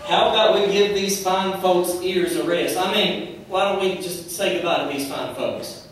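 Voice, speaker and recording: A man's voice: high voice, normal speed, natural voice. A man voicing a genial, dryly polite police officer puppet, the straight man in a church puppet show. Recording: very echoey hall, distant mic, noisy.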